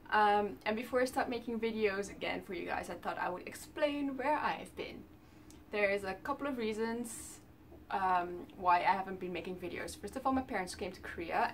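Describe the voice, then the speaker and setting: A woman's voice: mid-range voice, fairly bright tone, far from the mic, a little hiss or hum. A woman in her twenties from the Netherlands with a Dutch accent, chatting casually to camera from her bedroom, with lots of ums while she talks with her hands. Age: 20s. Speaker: vlogger. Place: small bedroom indoors.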